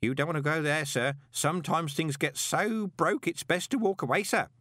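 A man's voice: a little high, normal speed, a bit bright, dry recording, clean studio sound, voice pushed up. A man voicing a chatty, deferential elderly postal worker with a rambling, conspiratorial way of talking. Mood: wary. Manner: gossipy, rambling, ingratiating.

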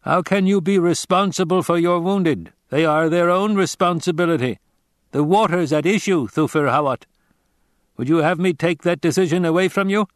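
A man reading an audiobook, voicing a blunt, cryptic male desert tribesman who speaks slowly and asks direct questions. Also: fairly high pitch, dry recording, clean studio sound, voice pushed up, close to an everyday voice. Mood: stern, blunt. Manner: slow, measured, direct questioning. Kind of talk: audiobook character voice.

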